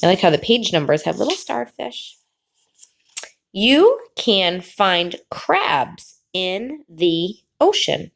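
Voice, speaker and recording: A woman teacher reading a picture book aloud to children, pausing before key words and explaining the pictures. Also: medium pitch, very animated, gravelly. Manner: slow, drawn-out reading with sing-song pauses before the last word.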